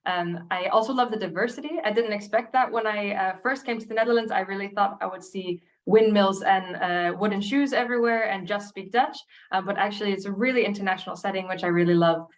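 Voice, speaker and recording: A woman's voice: mid-range voice, quick pace. A woman from the United States with an American accent, presenting a webinar in a chatty, friendly way with many filler sounds. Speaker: recruiter co-hosting a webinar.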